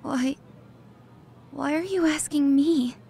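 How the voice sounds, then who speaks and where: High-voiced, guarded schoolgirl. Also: takes it slowly, gravelly, a little hiss or hum, exaggerated voice. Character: guarded, soft-hearted high school girl. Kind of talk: video game English dub dialogue. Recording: loud music under voice.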